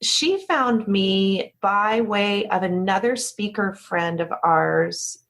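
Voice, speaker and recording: A woman's voice: medium pitch, slow. A woman chatting casually as an interview guest, telling stories candidly and acting out bits of remembered dialogue. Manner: candid, animated retelling with quoted dialogue. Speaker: interview guest, company founder. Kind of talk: casual conversational storytelling.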